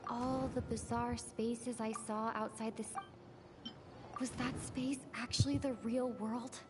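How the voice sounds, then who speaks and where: A woman playing an earnest, thoughtful young female adventurer in a video game, recounting things in a measured way. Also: fairly high pitch, level tone, noisy background. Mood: pondering. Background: music.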